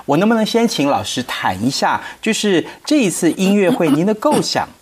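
A man with a Taiwanese Mandarin accent hosting a radio interview, putting prepared questions in a polite, formal, measured way. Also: sits high, big pitch swings, slightly rough.

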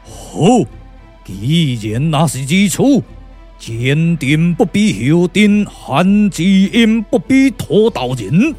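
A man with a Taiwanese accent playing an imperious, vengeful ancient Taoist sage in pompous, drawn-out puppet-theatre radio declamation. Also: sits high, darkish tone, exaggerated voice.

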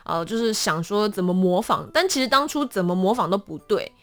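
A woman's voice: mid-range voice, brisk. A woman with a Taiwanese accent chatting casually and expressively as an interview guest. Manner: animated, expressive storytelling.

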